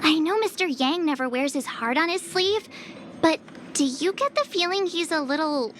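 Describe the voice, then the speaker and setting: High, bubbly girl detective. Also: normal speed, fairly bright tone, slight background noise. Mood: puzzled, suspicious. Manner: theatrical, eager, exclamatory. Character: bubbly, cocky young girl playing amateur detective. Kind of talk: video game voice acting.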